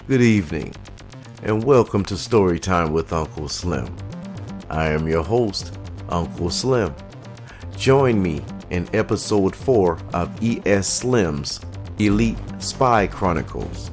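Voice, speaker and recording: Male storytime host, very deep and unhurried, scripted intro over music. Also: expressive.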